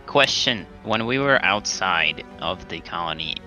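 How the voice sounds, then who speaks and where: A man's voice: deep voice, animated, unhurried, sharp tone, faint music behind. A man chatting casually as a player in a tabletop game.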